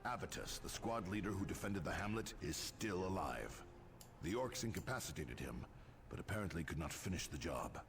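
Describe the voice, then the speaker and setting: An actor playing a stern space marine commander, authoritative and formal, in a sci-fi military game briefing. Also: male voice, low-pitched, lively intonation, moderate pace, recorded from a distance, noisy background. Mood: grave, commanding.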